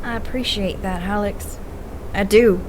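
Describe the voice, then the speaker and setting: A woman acting in an audio drama as a determined paranormal investigator, measured, direct and dryly teasing. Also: medium pitch, fairly even intonation, slight background noise, natural voice, poor audio. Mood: calm, forgiving. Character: steady, determined woman investigator of the supernatural, forgiving but blunt.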